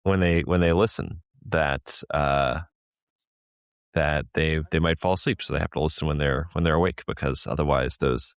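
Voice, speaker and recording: A man's voice: a bass voice, normal speed, smooth, dry recording, clean studio sound. A man who is a regular podcast guest, chatting in a playful, teasing way, laughing often and restarting his words. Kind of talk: casual chat.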